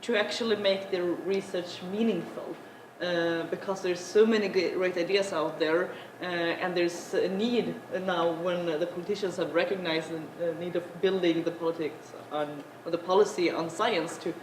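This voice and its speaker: A young woman who is not an English speaker gives a halting panel talk, full of filler sounds. Her voice sits somewhat low. The room is echoey, and there is clear background noise. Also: fairly even intonation. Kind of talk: conference talk. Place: large conference room. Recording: echoey conference room, noisy.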